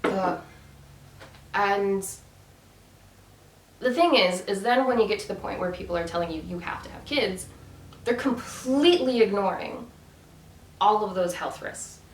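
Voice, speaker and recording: A woman's voice: mid-range voice, a little slow, long pauses, far from the mic, a little hiss or hum. Earnest woman in her thirties, roomy sound. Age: 30s. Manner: earnest, emphatic, many fillers. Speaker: vlogger. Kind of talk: casual monologue to camera.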